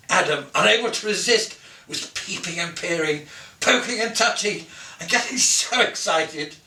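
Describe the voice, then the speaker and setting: A man reading a children's Christmas story aloud in an expressive, theatrical way, savouring lists and softening the ends of his phrases. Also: sits high. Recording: roomy echo, distant from the mic.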